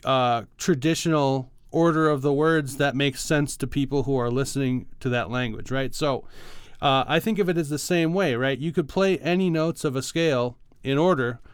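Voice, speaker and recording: A man's voice: mid-range voice, moderate pace, clean tone, no room sound, clean studio sound. A man co-hosting a podcast, casually explaining things in a patient, teacherly way with examples. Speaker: podcast co-host, music arranger and teacher.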